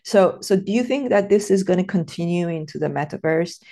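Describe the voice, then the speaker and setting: A woman's voice: lowish voice, lively intonation, fairly quick, warm tone. A woman from Iran hosting a casual podcast interview, thoughtful and hesitant, with many fillers and restarts.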